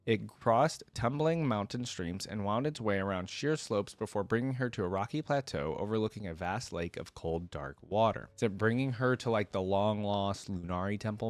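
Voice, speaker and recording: A man's voice: lowish voice, no echo. A man hosting a reaction video, reading a fantasy story aloud and adding casual fan commentary.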